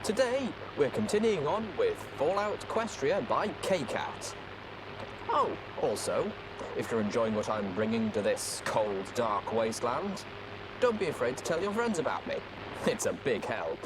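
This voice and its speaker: A man's voice: medium pitch, sing-song, unhurried, noisy background. A man hosting a show in the style of a radio DJ, introducing it and addressing listeners as friends. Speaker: show host in radio DJ persona. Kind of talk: post-apocalyptic radio DJ introduction.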